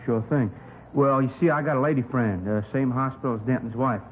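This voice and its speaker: A man's voice: medium pitch, a little fast, narrow, tinny audio, close to an everyday voice. A man playing an eager, plain-spoken citizen with information for police in a 1950s radio drama, speaking folksy, ungrammatical English. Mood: eager, helpful. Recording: crackly, thin 1950s radio recording.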